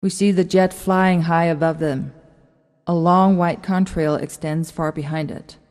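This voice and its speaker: A woman's voice: on the low side, fairly even intonation, unhurried, darkish tone. A woman narrating a script, reading out stage directions as the narrator. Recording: long hall-like reverb.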